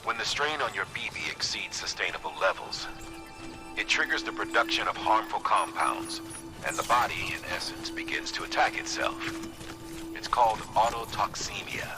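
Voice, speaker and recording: A measured expert advisor, played by a man, explains things didactically in a game. His voice is fairly low and deliberate, over clear background music. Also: expressive, close to an everyday voice. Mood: grave, instructive. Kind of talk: scripted explanatory game dialogue.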